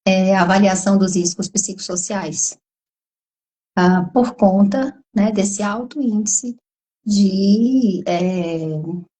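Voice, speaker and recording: A Brazilian woman talks through a video call at an even middle pitch, her voice hoarse from a cold. She explains at length and keeps checking in with little tag questions as she goes. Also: takes it slowly. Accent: Brazilian Portuguese. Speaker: psychologist guest. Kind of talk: explanatory live conversation.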